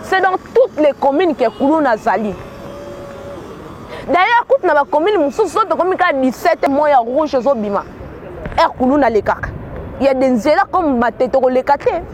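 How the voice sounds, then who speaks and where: Woman from kinshasa w/ congolese accent, earnest, repeats words, high pitched. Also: animated, moderate pace, a little hiss or hum. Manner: earnest, repeats words when searching for them. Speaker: commentator. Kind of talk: spoken commentary mixing Lingala and French.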